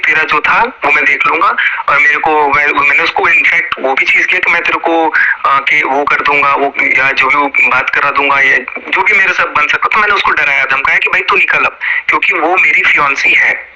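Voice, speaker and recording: A man's voice: fairly high pitch, fairly even intonation, brisk, highs cut off. A man in an interview, explaining at length in a rambling, casual way and often checking in with his listener. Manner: rambling, keeps checking in with "okay?". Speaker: interview guest. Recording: loud background noise.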